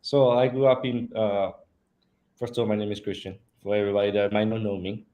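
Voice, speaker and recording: A man's voice: lowish voice, flat delivery, brisk, dark tone, muffled recording. A man from Peru, a guest on a live-stream interview, telling his life story earnestly with fillers. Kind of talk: casual interview talk.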